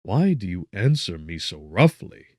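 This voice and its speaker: A man voicing a desperate shipwrecked gentleman scientist in a gothic audiobook, formal, pleading and loudly declaimed. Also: low-pitched, very animated, unhurried, no echo, clean studio sound, heavily acted. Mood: offended surprise.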